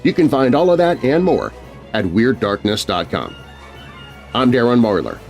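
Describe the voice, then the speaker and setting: A male podcast host reads a scripted sign-off. His mid-pitched voice moves with lively, varied intonation, recorded close as a studio voice-over with music underneath. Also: normal speed, creaky. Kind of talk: scripted podcast outro narration.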